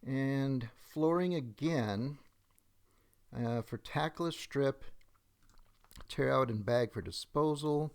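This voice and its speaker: A middle-aged man narrating a software demonstration step by step, methodically thinking aloud as he goes. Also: mid-range voice, takes it slowly, vocal fry, pauses often, clean tone.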